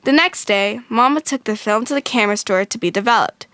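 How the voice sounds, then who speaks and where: A woman narrating a children's storybook from a script, expressive and clearly phrased. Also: on the high side, brisk, slight background noise.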